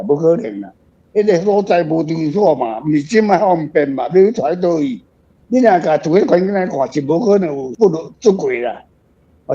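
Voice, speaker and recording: A man's voice: on the high side, dark tone. An older man with a Taiwanese accent, talking casually and reflectively as a guest author, in a rambling, frank, self-deprecating way. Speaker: author, guest on a radio show. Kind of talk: casual reflective book talk. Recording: dull lo-fi recording.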